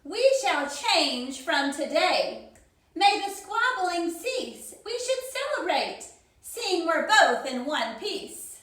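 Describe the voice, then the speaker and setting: A woman voicing a greedy, excitable male squirrel from a picture book, in an exclamatory, dramatic way. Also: extremely high pitch, slow, exaggerated voice. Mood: sheepish, relieved. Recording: very echoey, reverberant room.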